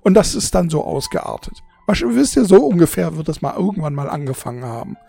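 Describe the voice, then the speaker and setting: A forty-year-old speaking off the cuff to an audience in a husky, gravelly voice, halting and repeating words, emotional and blunt with casual swearing. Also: medium pitch, very animated, mellow, no room sound, soft background music. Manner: halting, repetitive, searching for words. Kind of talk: unscripted casual monologue to an audience.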